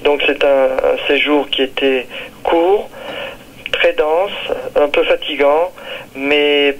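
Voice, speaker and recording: A man from Nice in southern France, a visiting research scientist, describing his trip haltingly with many pauses and fillers. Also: a little high, steady tone, muffled recording. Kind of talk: recorded interview testimony.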